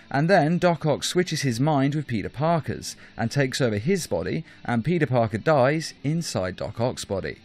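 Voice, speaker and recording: Lively male countdown narrator over music. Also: medium pitch. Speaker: host of a top-five countdown video. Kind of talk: scripted narration, video essay commentary. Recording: music behind the voice.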